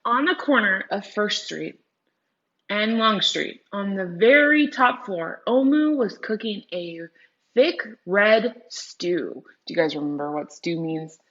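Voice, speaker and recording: A woman's voice: medium pitch, lively intonation, slow, a bit bright, lo-fi sound. A woman teacher reading a picture book aloud to children, explaining words and often asking the young listeners questions directly. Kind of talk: read-aloud lesson with vocabulary explanations and questions to young viewers.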